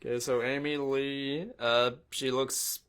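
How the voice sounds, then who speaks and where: A man co-hosting a podcast in casual chat, with a laid-back, halting way of talking and some swearing. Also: medium pitch, little pitch movement, a bit bright, clean tone. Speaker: podcast co-host.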